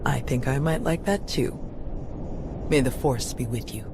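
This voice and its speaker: An actor playing a humble, resolute knightly warrior in a video game cutscene, speaking sincerely over background noise. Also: male voice, mid-range voice, unhurried, lightly acted. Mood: warm, hopeful. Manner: sincere, earnest. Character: earnest, humble Jedi warrior, quietly resolute.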